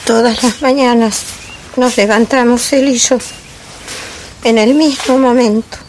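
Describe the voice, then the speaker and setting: A woman playing a melancholy, lonely wife in a poetic theatrical monologue, with a measured, reflective delivery. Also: a little high, steady tone, a little mellow, slight background noise, close to an everyday voice, poor audio. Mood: wistful, resigned. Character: melancholy wife recalling a silent, lonely marriage. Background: music.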